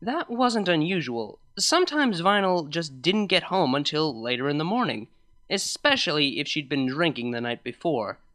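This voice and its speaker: A woman reading a scripted fan fiction story as narrator, in an expressive storytelling manner. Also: deep voice, normal speed.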